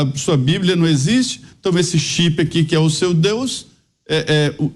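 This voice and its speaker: Man, political commentator, emphatic, argumentative, slightly high voice. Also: darkish tone. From Minas Gerais, Brazil. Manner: emphatic, argumentative, rambling asides. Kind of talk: panel debate commentary.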